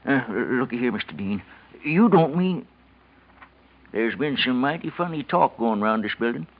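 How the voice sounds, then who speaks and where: An actor voicing a folksy, gossipy, eager night elevator operator with a hesitant, colloquial way of talking in a 1940s radio drama. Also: male voice, medium pitch, expressive, moderate pace, lightly acted. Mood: folksy, wary. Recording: noisy, dull vintage radio recording.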